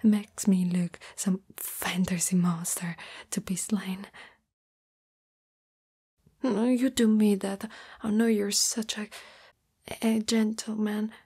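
A woman voicing a shy, lovestruck young spider monster girlfriend in an ASMR roleplay, hesitant, tender and intimate in a breathy whisper. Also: mid-range voice, fairly even intonation, slow, long pauses, dark tone, exaggerated voice. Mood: hesitant, self-conscious. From Italy.

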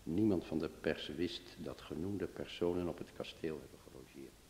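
An actor playing a grave letter writer in a radio play, speaking in a slow, hushed, deliberate way. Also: male voice, extremely low pitch. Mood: grave, secretive. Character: grave male letter writer giving secret instructions. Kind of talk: radio play acting, letter read in voice-over. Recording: echoing, reverberant, faintly hissy radio recording.

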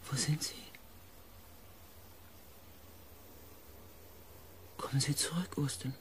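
An actor playing a shaken space expedition member in a radio drama, with a husky, breathy voice and a hushed, halting manner. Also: male voice, a little high, fairly even intonation, in a whisper, lightly acted. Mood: worried, pleading. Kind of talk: radio drama narration and acting.

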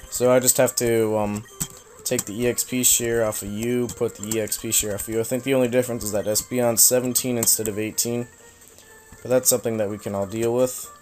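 A young man casually commenting while he plays a video game, rambling and self-mocking, his voice slightly raspy from a cold. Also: mid-range voice, little pitch movement, a little fast, a little mellow, soft background music. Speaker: let's play host.

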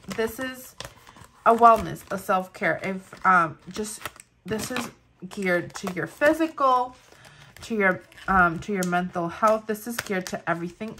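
A woman's voice: mid-range voice, fairly bright tone, slight background noise. A woman in her thirties with a Spanish accent, chatting casually and gushing over planner products, with lots of fillers. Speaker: planner vlogger. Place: indoors at a table, overhead camera on hands.

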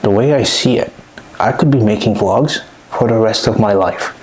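A man in his thirties from Congo, a native French speaker, giving an earnest, measured vlog monologue into a lapel mic in a small dim room at home. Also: fairly low pitch, a little hiss or hum, poor audio. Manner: measured, earnest, reflective delivery. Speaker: vlogger. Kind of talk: scripted personal vlog monologue. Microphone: clip-on lapel mic.

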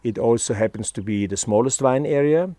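Casting note: middle-aged Austrian-accented man, calm and halting delivery, dry close sound. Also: medium pitch, no room sound. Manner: measured, frequent "uh" pauses. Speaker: wine estate proprietor. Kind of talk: presenting a virtual wine tasting. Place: outdoors on a hilltop above a lake.

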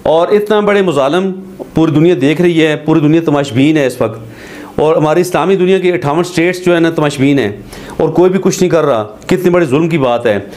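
Earnest Pakistani man, measured commentary. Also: a little high, darkish tone. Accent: Pakistani Urdu. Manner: earnest, measured, frequent hesitations. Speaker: advocate commentator. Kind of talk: direct-to-camera commentary. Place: studio with green screen backdrop.

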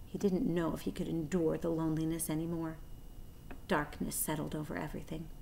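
A woman's voice: fairly low pitch, gravelly, darkish tone, slight background noise. A woman in her forties reading a children's story aloud expressively, as a storyteller. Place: small plain room indoors.

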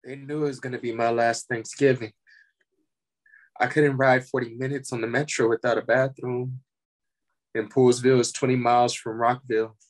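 A man's voice: medium pitch, flat delivery, normal speed, natural voice, poor audio. A man acting a bitter, weary, dying thirty-five-year-old at a script table reading.

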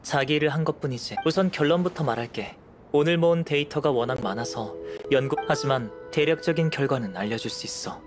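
A calm young alchemist played by a man in an anime game, with a mid-pitched voice. He is measured, soft-spoken and philosophical, with music behind him. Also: close to an everyday voice. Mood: composed, explanatory. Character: calm, pensive young male alchemist scholar. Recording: clean studio voice over background music.

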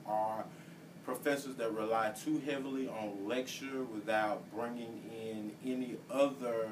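A man's voice: mid-range voice, unhurried. A 23-year-old male student giving precise, formally worded interview answers. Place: office computer room, indoors. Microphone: distant camera mic. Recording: distant mic with steady hiss.

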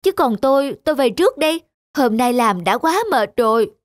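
A woman's voice: fairly high pitch, lively intonation, moderate pace, close to an everyday voice. A woman voicing a weary, grumbling male farm labourer in a cartoon, with a dismissive manner. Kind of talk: cartoon dubbing. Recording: music under the dialogue.

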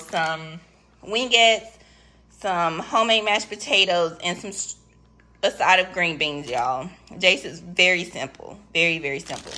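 A woman's voice: fairly low pitch, a bit bright. A woman in her thirties chatting casually to her viewers, filming from behind the camera in her home kitchen. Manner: chatty, talks straight to viewers, says y'all often. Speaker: vlogger doing a grocery haul. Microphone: handheld camera, talking from behind it.

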